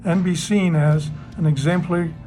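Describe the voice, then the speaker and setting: A man's voice: fairly high pitch, steady tone, moderate pace, over music. A man delivering a prepared testimonial as an alumnus and donor.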